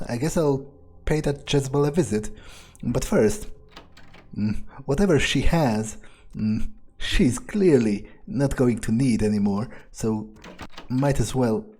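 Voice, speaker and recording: Game roleplay: man as sardonic, crude vampire musing to himself. Unhurried, soft-edged, clear delivery with hesitations. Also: medium pitch. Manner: halting, musing, sardonic, swearing. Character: cynical, crude male vampire talking to himself. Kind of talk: improvised in-character video game playthrough.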